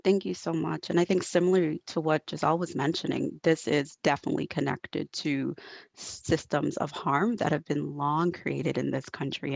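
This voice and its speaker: A woman's voice: sits low, creaky, dry recording, dull audio. A woman from Barbados speaking as an expert panelist, articulate and emphatic, repeating phrases for weight. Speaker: panelist, mental health professional. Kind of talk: panel discussion, explanatory advocacy.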